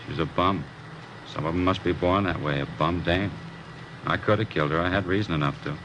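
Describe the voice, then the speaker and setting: Man acting a bitter, low-voiced American working stiff. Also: a little fast, close to an everyday voice. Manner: blunt, slangy, resentful. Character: bitter, fed-up working-class welder estranged from his wife. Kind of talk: old-time radio crime drama acting. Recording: noisy old radio recording, machinery hum.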